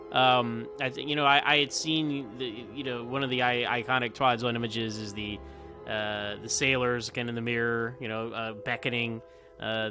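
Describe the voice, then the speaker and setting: A man giving casual fan commentary on TV episodes in a chatty, enthusiastic, rambling manner. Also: medium pitch. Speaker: podcast host calling in as guest reviewer.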